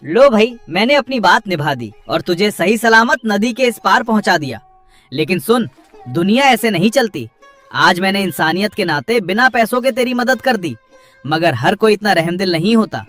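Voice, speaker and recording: A man's voice: sits high, big pitch swings, normal speed, fairly bright tone, faint music behind. A man playing a plain-spoken, kindly village boatman in a cartoon story, admonishing in a humane way. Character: kind, hard-working village boatman, grumbling but humane. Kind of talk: dramatised cartoon story dialogue.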